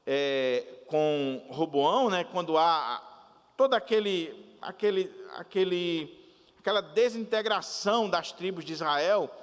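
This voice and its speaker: A man preaching a sermon as a pastor, deliberate and repeating his points with explanatory asides. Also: high voice, in a large hall.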